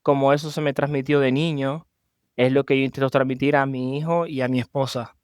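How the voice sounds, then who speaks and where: A man's voice: mid-range voice, fairly even intonation, fairly quick, clean tone, dry recording, studio quality. A man from Venezuela with a Venezuelan Spanish accent, talking about himself as a podcast guest in a casual interview. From Maracaibo, Venezuela. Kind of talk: personal storytelling in a casual interview.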